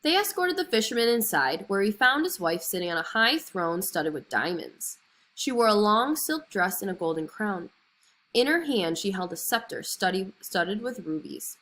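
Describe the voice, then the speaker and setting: Expressive woman storyteller, animated pitch. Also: moderate pace. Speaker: storyteller reading a children's fairy tale. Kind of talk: expressive scripted story narration.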